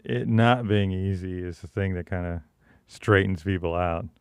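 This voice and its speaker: A man co-hosting a talk show, speaking haltingly with many fillers and chuckles. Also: low voice, clean tone, dry recording. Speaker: co-host. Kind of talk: casual talk show chat.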